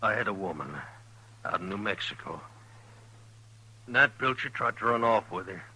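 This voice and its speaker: A man playing a bitter, resigned, wounded gunman in an old radio western, with halting rustic speech and labored, husky voice. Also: on the low side, animated, pushed and bright, heavily acted, poor audio. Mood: bitter confession. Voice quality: husky, strained.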